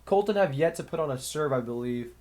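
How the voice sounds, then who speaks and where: A man's voice: a little high, animated, a little fast, a little mellow. A young man with a slightly nasal, stuffy voice from a cold, casually calling a match play by play on his own and thinking aloud between points. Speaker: sports commentator. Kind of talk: solo play-by-play commentary.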